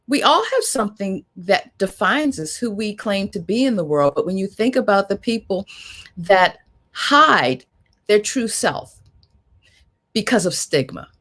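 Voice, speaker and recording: A woman's voice: medium pitch, very animated, unhurried, fairly bright tone. A woman moderating a discussion in a reflective, conversational way, with an affirming, heartfelt manner.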